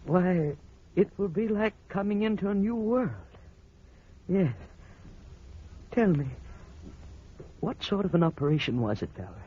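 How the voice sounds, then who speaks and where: An actor playing an aging blind husband, devoted yet superstitious and suspicious, in a theatrical, emphatic vintage radio drama style. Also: male voice, high-pitched, lively intonation, a little slow, long pauses, husky, heavily acted. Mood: hopeful. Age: older. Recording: muffled, noisy old radio recording.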